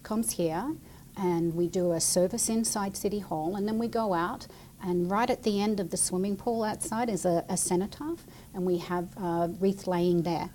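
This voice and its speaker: A woman's voice: medium pitch, normal speed, warm tone, a little hiss or hum. A woman explaining her work to children as a guest, in plain, chatty language. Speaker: city military liaison and veteran, guest speaker.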